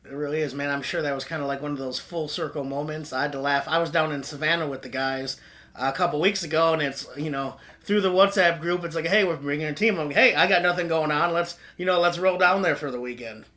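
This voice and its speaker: A man's voice: on the high side, fast, pushed and bright. A man hosting an interview podcast, talking in a friendly, conversational way and calling his guest "man" a lot. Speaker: podcast host, historian. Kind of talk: casual interview chat.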